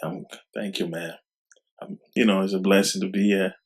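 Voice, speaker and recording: A young man from Cameroon, raised in Germany, a rapper answering interview questions in a soft, halting way with many fillers. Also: deep voice, steady tone, creaky, slightly rough. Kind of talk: casual interview talk.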